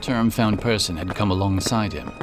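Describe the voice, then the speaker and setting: Low-voiced male narrator over loud music. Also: moderate pace. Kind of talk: scripted fantasy audiobook narration. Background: prominent background music.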